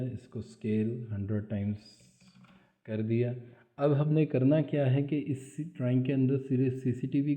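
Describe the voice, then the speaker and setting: A man, explaining drawing steps aloud as he works through a screen-recorded CAD tutorial. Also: medium pitch. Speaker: CAD drafting instructor. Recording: tinny phone-like audio.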